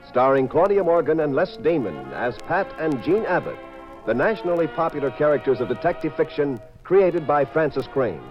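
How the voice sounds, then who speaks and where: A man announcing a 1950s radio drama in a formal, scripted style. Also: fairly high pitch. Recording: dull 1950s radio recording, faint music.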